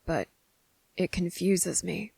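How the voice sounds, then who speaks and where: A woman voicing a guarded, defiant young boy with deliberate, halting, serious delivery in an ASMR roleplay audio drama. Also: on the low side, gravelly, a little mellow, slightly rough, no room sound, heavily acted. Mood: puzzled, guarded.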